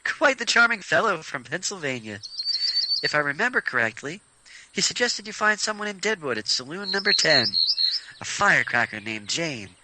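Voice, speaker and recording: A man's voice: a little high, big pitch swings, normal speed, pitched above the performer's own voice. A man voicing a sly, teasing woman of a Western posse in an audio story, with a pointed delivery. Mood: sly, knowing. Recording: noisy background hiss.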